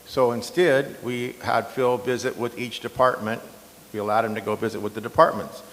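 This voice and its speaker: A man, an incumbent county supervisor, answering in a candidate debate with a loud, slightly hoarse voice and a defensive, chatty manner. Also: mid-range voice, fairly even intonation, moderate pace. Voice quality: loud strong voice. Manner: defensive, conversational with fillers. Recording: long hall reverb.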